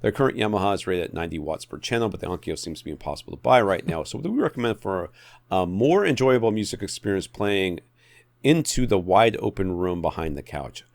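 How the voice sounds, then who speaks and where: A man with a low voice that moves around a lot in pitch co-hosts a podcast. His delivery is informal and joking, like casual chat over listener questions. The sound is close and dry. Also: very animated, quick pace, vocal fry, dry recording. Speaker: podcast co-host.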